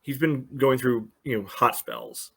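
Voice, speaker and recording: Man, podcast co-host, medium pitch, casual sports analysis, loose filler-peppered phrasing. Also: unhurried, fairly bright tone, breathy edge.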